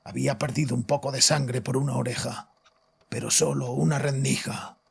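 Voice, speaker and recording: Actor as haunted man, heavily husky, creaky fry, bitter confessional monologue, horror audio fiction. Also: medium pitch, fairly even intonation, normal speed, heavily acted. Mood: flat guilt. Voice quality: raspy, husky. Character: haunted, guilt-ridden father confessing to psychiatrist, bitter.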